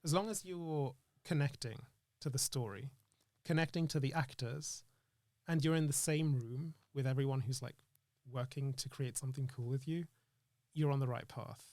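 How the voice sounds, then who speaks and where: A man's voice: mid-range voice, moderate pace, darkish tone, dry recording, studio quality. A man speaking as an interview guest in casual, reflective chat, earnestly thinking aloud in broken phrases. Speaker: interview guest, actor and screenwriter.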